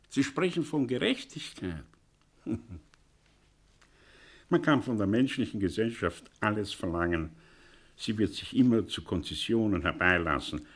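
An actor playing a cynical, condescending professor in a radio drama, mocking and lecturing with rhetorical flourishes. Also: male voice, lowish voice, fairly bright tone, husky, exaggerated voice. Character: cynical, condescending male professor with a choleric streak. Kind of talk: German radio drama acting.

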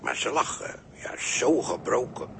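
An actor playing a folksy, evasive, callously matter-of-fact bystander in a halting, colloquial 1960s radio drama style. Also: male voice, medium pitch, lively intonation, gravelly, fairly bright tone. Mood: grim recollection. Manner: halting, colloquial, with tags and repeats. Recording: noisy early 1960s radio recording.

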